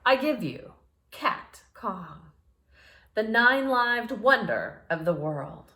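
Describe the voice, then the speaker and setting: A woman's voice: a little high, very animated, takes it slowly, bright, forceful tone, echoey room, pitched above the performer's own voice, full character voice. A woman voicing a boastful, greedy male mouse scientist in a storybook, proclaiming like a grand showman. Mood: proud announcing.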